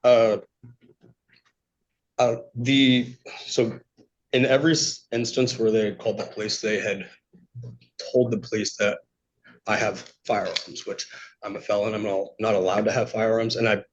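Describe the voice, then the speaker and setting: A man giving unscripted testimony to a judge, speaking in a halting, polite way. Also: lowish voice, steady tone. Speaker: petitioner testifying in court. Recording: dull, lo-fi courtroom audio.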